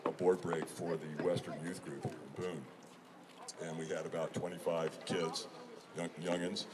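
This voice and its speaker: A man with a slightly hoarse voice, giving a folksy, rambling motivational talk to a group as a team-building trainer. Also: sits low. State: hoarse from hours of talking. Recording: distant mic, loud outdoor background noise.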